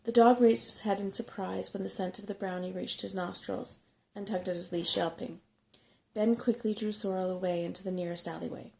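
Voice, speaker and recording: Woman, soft-spoken, ASMR storyteller, bedtime narration, mellow, gravelly fry. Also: medium pitch, steady tone, a little fast, rough recording.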